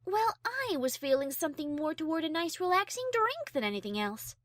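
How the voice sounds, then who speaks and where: A woman playing a haughty, high-class female pony who is prim, formal and easily flustered, in an audio drama. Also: very animated, a little fast, fairly bright tone, dry recording, studio quality. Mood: prim, exasperated. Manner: prim, formal, refined diction. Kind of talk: fan audio drama character acting.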